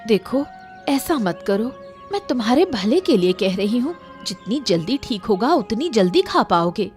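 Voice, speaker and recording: A woman's voice: a little high, sing-song, moderate pace, darkish tone, faint music behind. A woman playing an insistent, caring cartoon wife. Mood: urging. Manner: insistent, scolding yet caring. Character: firm, caring wife nagging her ailing husband. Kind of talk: cartoon story acting.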